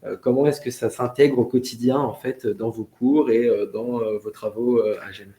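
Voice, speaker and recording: A man's voice: mid-range voice, steady tone, normal speed, dark tone. A man hosting a live interview with students, asking long questions full of fillers and restarts. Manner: many fillers, rephrases questions. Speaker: interviewer, host. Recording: echoey room.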